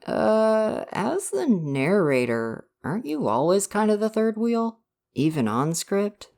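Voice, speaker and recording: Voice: medium pitch, big pitch swings, takes it slowly, creaky, full character voice. A performer playing an affectionate visual novel boyfriend in an ASMR roleplay, earnest and reassuring. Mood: teasing, awkward. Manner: soft-hearted, reassuring, earnest. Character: gentle, affectionate dating-sim boyfriend come to life. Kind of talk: ASMR roleplay, personal affirmation.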